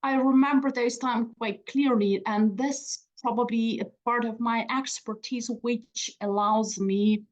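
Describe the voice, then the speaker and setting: Ukrainian woman, halting and reflective. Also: fairly high pitch, fairly even intonation, poor audio. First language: Russian. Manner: reflective, halting with restarts and soft laughs. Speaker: museum speaker. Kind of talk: conference talk.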